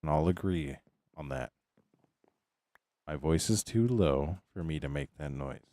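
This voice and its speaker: Young male streamer, deep voice, loose filler-heavy musing. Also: lively intonation, a little slow, dark tone. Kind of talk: casual gaming stream chat.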